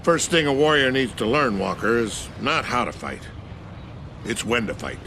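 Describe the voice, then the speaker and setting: An actor playing a stern older navy admiral giving clipped orders in a video game. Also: male voice, medium pitch, sing-song, normal speed, vocal fry, noisy background. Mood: firm.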